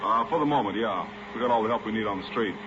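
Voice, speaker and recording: A tough American chief of detectives, played by a male actor, rattling off his lines briskly and with authority. It sounds like 1940s radio drama, muffled and noisy. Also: fairly low pitch, close to an everyday voice. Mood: matter-of-fact, harried. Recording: dull, noisy old radio recording.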